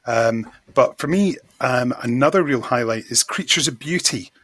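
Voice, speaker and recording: An enthusiastic man talking casually as a podcast guest, effusive in his praise. His voice is bright and forward with a husky edge, recorded close and dry. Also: medium pitch, expressive, normal speed, dry recording. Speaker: podcast guest, magazine editor. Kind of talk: casual chat, fan discussion.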